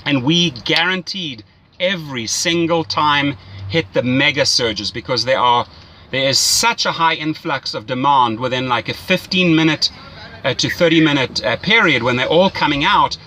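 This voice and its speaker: Bright, forceful-voiced male rideshare coach. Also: on the high side, moderate pace, low-quality recording. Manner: enthusiastic, salesy, often checks with "right?". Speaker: rideshare mentor. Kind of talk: casual coaching phone call.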